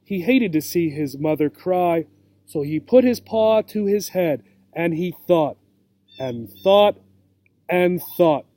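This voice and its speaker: Male teacher for children's story reading: mellow, slightly high, expressive, guiding young English learners. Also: slow, no echo. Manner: checks understanding with questions.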